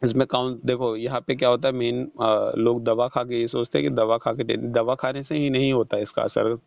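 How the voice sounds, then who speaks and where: Creaky-voiced Indian man counselling by phone. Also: mid-range voice, flat delivery, a little fast, no room sound. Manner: didactic, repeats and checks understanding. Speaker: health counsellor on a call. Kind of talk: explanatory phone consultation. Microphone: phone call. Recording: muffled, band-limited phone call recording.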